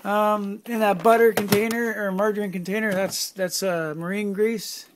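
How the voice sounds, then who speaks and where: A man in his forties with a fairly high voice talks casually and loosely, full of fillers and the occasional curse. He sounds like he is wandering through a small home workshop, recorded on a handheld phone. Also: steady tone, moderate pace, noisy background. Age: 40s. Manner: rambling and offhand, many fillers, mild swearing. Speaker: hobbyist vlogger. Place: cluttered home workshop, indoors. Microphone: handheld phone camera.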